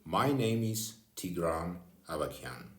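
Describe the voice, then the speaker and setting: An older retired man, a native Armenian speaker, addresses his followers in slow, halting English with pauses and fillers. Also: sits low, big pitch swings, reverberant. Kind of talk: direct address to camera.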